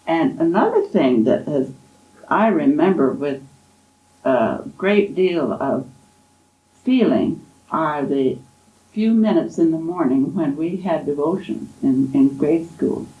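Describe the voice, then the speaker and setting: Woman in her 80s for an oral-history interview, gentle and fond, recorded in a roomy library. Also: low voice, expressive. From Kansas, USA. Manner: gentle, unhurried, fond storytelling with soft laughs. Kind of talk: reminiscing interview. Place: college library. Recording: echoey library room.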